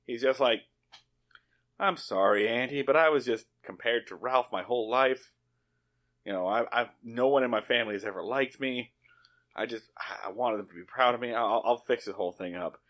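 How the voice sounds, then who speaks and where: A man's voice: mid-range voice, moderate pace, a bit bright. Man casually recapping TV, swearing freely. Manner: profane, acts out dialogue in paraphrase. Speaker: podcast co-host. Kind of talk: casual comedic recap chat about a TV show.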